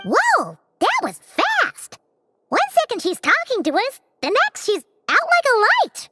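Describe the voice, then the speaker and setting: Tiny game fairy sidekick: piercingly high, hugely animated, chirpy and frank. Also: female voice, unhurried, faint music behind, heavily acted. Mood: amazed, teasing. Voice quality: squeaky, childlike. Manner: refers to self by name, exclaiming. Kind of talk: English video game dub acting.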